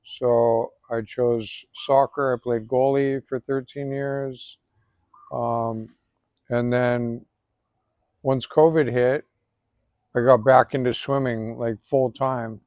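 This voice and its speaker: A man in his forties from Hawaii, a tattoo artist and swimmer, talking in a relaxed, easygoing way as the guest, outdoors after a swim. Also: mid-range voice, slow pace, dry recording, studio quality. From Hawaii, Oahu. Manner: relaxed, even-toned, filler-heavy. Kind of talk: casual outdoor interview.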